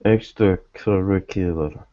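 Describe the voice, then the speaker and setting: A man runs a tabletop roleplaying game as its game master, in a low and slow voice. He narrates and speaks for characters with deliberate pauses. The sound is slightly dull. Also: mellow, no echo.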